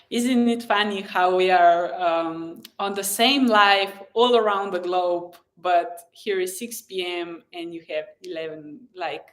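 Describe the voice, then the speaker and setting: A woman who is a native Slovenian speaker, hosting a casual live chat in a gentle, encouraging and effusive way, often pausing mid-thought. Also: fairly low pitch. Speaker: live stream host and blogger.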